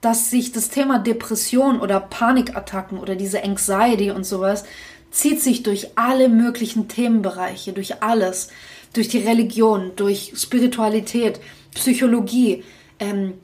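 A young woman with a voice a little higher than most speaks in a casual podcast conversation. She talks candidly and at length, circling back with restarts as she thinks aloud. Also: normal speed, a little mellow. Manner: candid, talks in long reflective runs with restarts. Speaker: podcast co-host.

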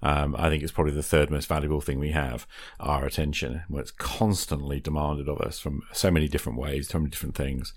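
A man's voice: extremely low pitch, steady tone, fast, few pauses. A man hosting a casual conversational interview, speaking in a reflective, affirming way. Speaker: podcast host, interviewer.

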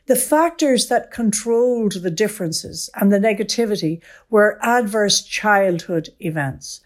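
A woman's voice: medium pitch, expressive, a little slow. Woman professor explaining science. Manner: articulate, explanatory, enthusiastic. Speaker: professor, interview guest. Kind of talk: expert explaining in an interview.

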